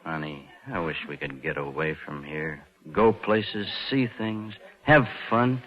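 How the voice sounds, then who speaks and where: An American actor playing a cold, scheming bank cashier in a hard-boiled 1940s radio drama, brooding and insinuating. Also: male voice, extremely low pitch, unhurried. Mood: moody, persuasive. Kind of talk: 1940s radio noir acting, first-person narration and dialogue. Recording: dull vintage radio recording with music.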